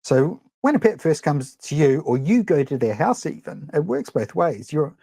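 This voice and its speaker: A man's voice: a little high, very animated, moderate pace, vocal fry, dark tone, breathy edge, dry recording. A middle-aged man with a New Zealand accent, presenting a live photography webinar in a chatty, rambling way, full of ums and restarts. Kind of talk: casual live webinar teaching.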